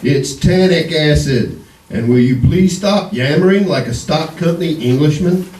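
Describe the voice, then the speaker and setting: A man's voice: a little high, expressive, normal speed, a little mellow, lightly acted. A man playing a sarcastic, needling doctor in a 1940s-style radio comedy. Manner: sarcastic, dry. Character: sardonic, needling doctor, exasperated with his pompous friend. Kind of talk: 1940s radio comedy acting before a live audience. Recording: echoey stage, distant mic, noisy.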